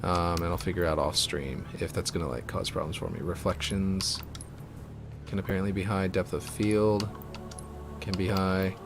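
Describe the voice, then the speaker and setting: A man with a fairly deep voice chatting casually on a live stream while building a game map, rambling with many fillers and restarts. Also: fairly even intonation, normal speed. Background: music.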